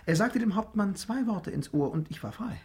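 A man's voice: high-pitched, animated, normal speed, a little mellow. A man acting in a radio drama as a genteel, sociable young aristocrat, polite and eager. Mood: confident, admiring. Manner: polite, well-bred, sociable.

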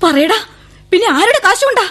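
An actress playing a young woman torn between a suitor and her family, soft and hesitant at first, later tearful and scolding. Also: very high-pitched, very animated, fairly quick, full character voice. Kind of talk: 1970s radio drama acting.

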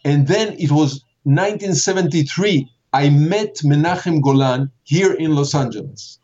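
An older man talks through his memories in a loose, rambling way, circling back over his words and chuckling as he goes. His voice sits a little high for a man, and the recording sounds dull and muffled. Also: normal speed, mellow, husky. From Poland. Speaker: film director interviewee. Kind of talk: relaxed interview answers.

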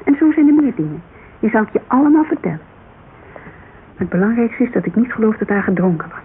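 A woman's voice: mid-range voice, sing-song, brisk, close to an everyday voice. Actress as a blunt, headstrong woman, crackly narrow-band radio. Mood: insistent. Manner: blunt, insistent, exasperated. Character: headstrong, blunt businesswoman client pressing a detective. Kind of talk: Dutch radio drama acting, conversational. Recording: narrow, noisy, creaky-sounding old radio broadcast.

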